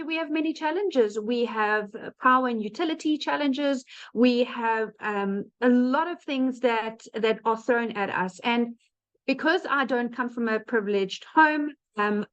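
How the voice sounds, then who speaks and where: A woman's voice: a little high, a bit bright. A woman with a South African accent giving a chatty webinar testimonial over a video call, with frequent "um" fillers. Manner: chatty, frequent "um" fillers, laughs between phrases. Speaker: guest speaker. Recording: muffled lo-fi online call audio.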